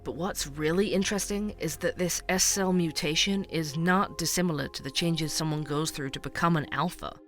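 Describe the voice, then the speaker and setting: A man plays a male true-crime podcast host in an audio drama. His voice is bright, crisp-edged and a little high, with music underneath. He narrates in a wry, conversational, curious way. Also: moderate pace, hardly any pauses, lightly acted. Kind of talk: scripted narration, fiction podcast.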